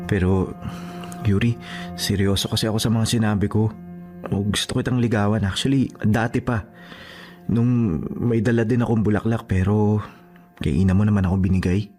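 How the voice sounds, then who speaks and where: A man's voice: lowish voice, close to an everyday voice. A man playing an earnest, persistent young suitor in a radio drama, speaking in a sincere, pleading way. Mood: hesitant, earnest. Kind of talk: Tagalog radio drama acting. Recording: music behind the voice. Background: music.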